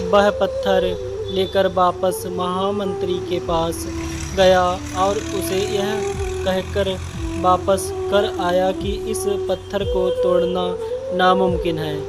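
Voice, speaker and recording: A man narrating a scripted moral story with deliberate, halting phrasing. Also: high voice. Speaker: storyteller for a channel. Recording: loud music behind the voice. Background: loud music.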